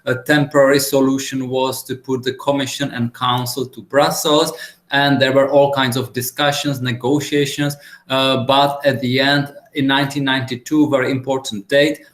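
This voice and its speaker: A man from the Czech Republic giving a relaxed, chatty webinar presentation over a video call, with many filler pauses. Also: mid-range voice. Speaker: guest speaker. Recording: echoey room on a video call.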